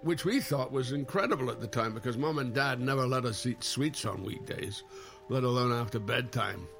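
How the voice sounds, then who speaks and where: An actor playing a forgetful brother reaching for memories, halting and unsure, in naturalistic audio drama. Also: male voice, medium pitch, gravelly, fairly bright tone, faint music behind. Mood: nostalgic.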